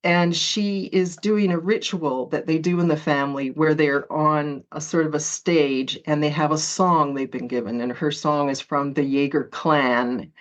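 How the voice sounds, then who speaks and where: A woman's voice: sits low, fairly even intonation, unhurried, few pauses, dull audio. A woman author being interviewed, talking in a chatty way with frequent laughs and hesitant fillers. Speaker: author guest. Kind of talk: conversational interview.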